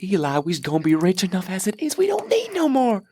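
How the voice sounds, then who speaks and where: A man playing a nervous, slow-witted young bandit, hesitant and husky in an audio drama. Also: sits high, wildly swooping pitch, darkish tone, a little hiss or hum. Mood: anxious. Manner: hesitant, stammering.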